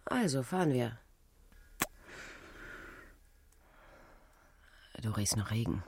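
An actress in a radio play voicing a volatile, provocative gangster's girl, husky, halting and scornful. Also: extremely low pitch, sing-song, darkish tone, heavily acted. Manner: halting, scornful, breaks off mid-sentence.

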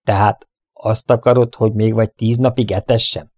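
Man voicing a gruff, bullying bandit boss. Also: lowish voice, steady tone, a little mellow, no echo, studio quality, natural voice. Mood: blustering. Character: domineering, angry, deceitful bandit boss running a western town.

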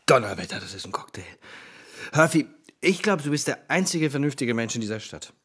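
An actor playing a careless, mocking rich young drinker with playful philosophising, in a German radio play. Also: male voice, medium pitch, big pitch swings, fairly quick, fairly bright tone, breathy edge. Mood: musing.

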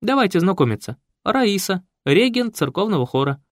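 A man reading a loud, pushy, gossiping church choir director who talks fast and shrieks. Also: big pitch swings, no echo, clean studio sound. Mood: gossipy. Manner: shrill, rapid, pushy. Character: loud nosy church choir director, middle-aged woman.

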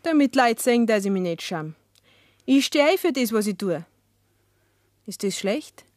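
Eine Frau spielt eine trotzige junge bayerische Dorffrau, helle Stimmlage. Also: female voice, on the high side, expressive, normal speed, darkish tone, no room sound, clean studio sound, natural voice. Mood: defiant. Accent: Bavarian. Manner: cajoling, unapologetic. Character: defiant, coaxing young village woman, shamelessly frank. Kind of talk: German dialect radio drama acting.

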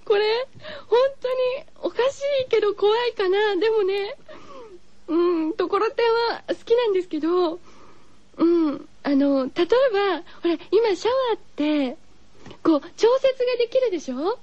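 High-pitched girlish woman radio host. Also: expressive, moderate pace, dry recording, dull audio. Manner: gentle, girlish musing with rhetorical questions to listeners. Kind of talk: chatty solo radio talk, reading listener postcards.

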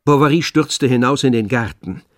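A man serves as narrator of a literary radio drama. He speaks at a middle pitch, in a measured and grave manner. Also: lively intonation, no echo, studio quality.

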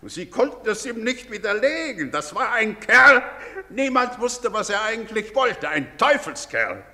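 An actor playing a pompous, self-important, bigoted middle-aged village doctor, declaiming in a theatrical stage manner. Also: male voice, high-pitched, big pitch swings, measured pace, bright, forceful tone, heavily acted. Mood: boastful. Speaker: stage actor in a broadcast drama. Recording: reverberant theatre stage recording.

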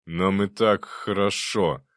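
A man voicing a tender, gloomy death demon who pleads gently in an audiobook story. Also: low-pitched, fairly even intonation, dry recording, clean studio sound. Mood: wistful. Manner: slow, quiet persuading.

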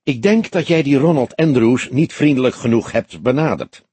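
Man playing young male detective, impatient, questioning, animated intonation, audiobook reading. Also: medium pitch, moderate pace, husky, no echo. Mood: eager, pondering. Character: young male detective sidekick, eager, restless, easily irritated.

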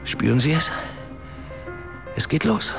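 A man's voice: a little high, sing-song, slow, creaky, exaggerated voice. An actor playing a composed, smug man in charge, speaking with controlled condescension in a radio drama. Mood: calm, assured. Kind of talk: German radio drama acting. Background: music.

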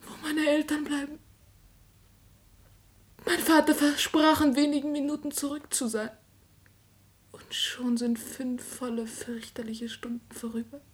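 An anguished young bourgeois daughter in a radio tragedy. Her voice sits fairly high. She declaims solemnly and breathily, often sinking toward a whisper, dutiful even in love. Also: a little slow, long pauses. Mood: anxious. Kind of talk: classic German stage tragedy radio acting.

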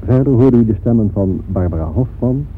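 A man's voice: medium pitch, animated, fairly quick. A man working as a radio announcer, reading scripted credits in a formal style. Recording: muffled old radio recording, faint noise.

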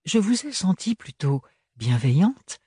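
A man voicing a quiet, stubborn young woman. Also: high voice, measured pace, breathy edge, no echo, studio quality, pitched above the performer's own voice, heavily acted. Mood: earnest. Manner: calm, plain-spoken.

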